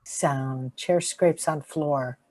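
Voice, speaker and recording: A woman reading out sound-effect cues for a radio play in deliberate, clipped stage-direction phrases. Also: deep voice, a little mellow, dry recording. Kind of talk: flat announced stage directions.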